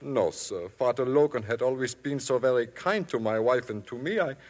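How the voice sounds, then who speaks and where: A man's voice: mid-range voice, lively intonation, moderate pace, darkish tone, close to an everyday voice. Guy doing a meek polite witness, sir this sir that, old radio with hall echo. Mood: earnest. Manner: deferential, always adds "sir". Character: humble, deferential male rectory caretaker witness. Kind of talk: 1950s radio drama acting. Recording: vintage radio broadcast, long hall reverb.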